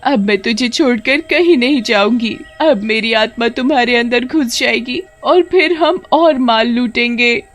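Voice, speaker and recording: A woman's voice: fairly high pitch, a little slow, few pauses, soft background music, pitched above the performer's own voice, lightly acted. A woman voicing a greedy ghost witch who coos theatrically over her son in a storybook tale. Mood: doting, scheming.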